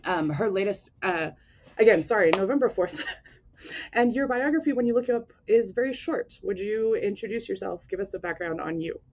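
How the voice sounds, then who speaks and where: A woman's voice: medium pitch, lively intonation, normal speed, a little mellow. A woman hosting an interview, upbeat and chatty, laughing easily. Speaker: podcast host and interviewer. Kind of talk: casual interview. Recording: dull lo-fi sound.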